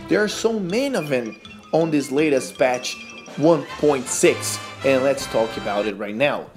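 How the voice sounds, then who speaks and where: Animated Brazilian-accented man. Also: medium pitch, unhurried, music in the background. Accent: Brazilian Portuguese. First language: Portuguese. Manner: animated, incredulous, laughs at glitches. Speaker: gaming YouTuber. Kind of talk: casual gameplay commentary.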